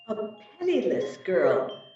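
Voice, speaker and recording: A woman playing a solemn, reproachful spirit, speaking in a slow, theatrical and accusing manner in a dramatic reading. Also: mid-range voice, huge pitch swings, warm tone, slight background noise. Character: solemn, reproachful female Ghost of Christmas Past. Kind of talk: dramatic reading of a Victorian Christmas story. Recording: muffled, very echoey room, distant mic, music.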